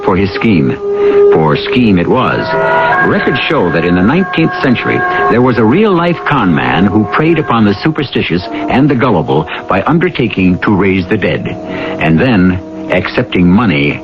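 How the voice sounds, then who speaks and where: A man hosting and narrating a radio drama in a theatrical, measured scripted style. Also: lowish voice, big pitch swings. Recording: dull old radio recording with music. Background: music.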